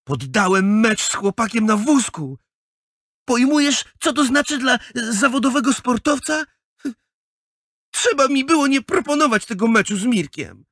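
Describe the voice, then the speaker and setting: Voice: mid-range voice, very animated, normal speed, fairly bright tone, no room sound, clean studio sound. A performer playing a proud, sulky young schoolboy athlete in a children's audio drama, defensive and prone to stammer. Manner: defensive, stammering when flustered.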